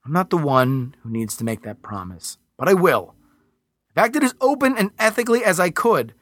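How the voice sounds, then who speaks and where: A cornered, desperate man making his final demands, agitated and defiant, with a lively voice that rises and falls, as a male narrator plays him. The sound is dry and close. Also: on the high side, moderate pace, dry recording, pitched above the performer's own voice. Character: desperate man holding off rescuers from a boat.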